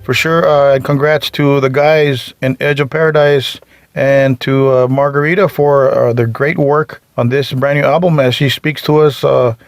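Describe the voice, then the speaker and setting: A man's voice: mid-range voice, steady tone, normal speed, dry recording, faint music behind. A man from Texas hosting a metal podcast, talking in a laid-back way with many fillers. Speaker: podcast host, interviewer. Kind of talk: casual podcast interview.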